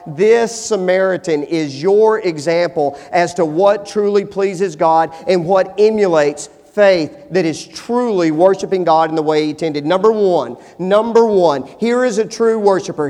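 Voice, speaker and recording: High-voiced preacher in a reverberant hall. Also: male voice. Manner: dynamic, swings from hushed to emphatic. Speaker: pastor. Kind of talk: sermon.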